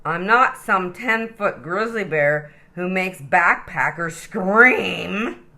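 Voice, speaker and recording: A woman's voice: lowish voice, expressive, slow, pushed and bright, exaggerated voice. A woman voicing a grumpy male koala in a children's storybook, indignant and emphatic in how he talks. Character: grumpy male koala fed up with being misnamed.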